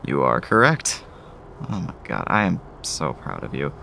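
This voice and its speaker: A man's voice: very deep voice, very animated, unhurried, vocal fry, bright, forceful tone, a little hiss or hum, exaggerated voice. A man playing a nervous, proud showbiz father in an audio drama, fussing and gushing eagerly. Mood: proud, emotional.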